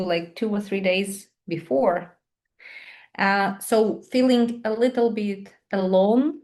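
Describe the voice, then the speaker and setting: A woman in her thirties from Ukraine with a Ukrainian accent, answering interview questions on a video call in thoughtful, halting English with many pauses and fillers. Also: fairly low pitch, a little mellow. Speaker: guest, English teacher and patient advocate.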